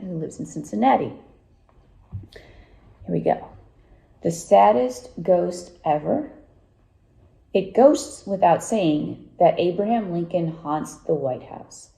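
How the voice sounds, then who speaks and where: A woman reads a true ghost story aloud as an author presenting to young readers. Her voice is low and very dark and soft-edged, with plenty of creak. The recording has a clear roomy echo.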